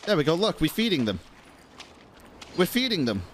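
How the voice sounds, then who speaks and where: A man's voice: on the high side, sing-song, moderate pace, background noise. A man in his thirties giving casual live commentary as he streams a game indoors. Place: small indoor streaming room. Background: game music.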